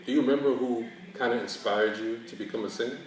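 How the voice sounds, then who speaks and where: A man's voice: medium pitch, fairly even intonation, quick pace. A man, asking casual questions as an interviewer, recorded in an echoey room away from the main mic. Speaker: interviewer, show host.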